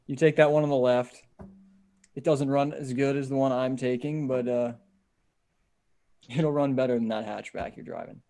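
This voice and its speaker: A man's voice: medium pitch, steady tone, moderate pace, long pauses, warm tone, close to an everyday voice. Man acting a plainspoken, decent sheriff's deputy. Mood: calm, reassuring. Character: decent, steady male small-town sheriff's deputy, survivor. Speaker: actor in an amateur table read. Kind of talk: dramatic script reading. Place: remote video call.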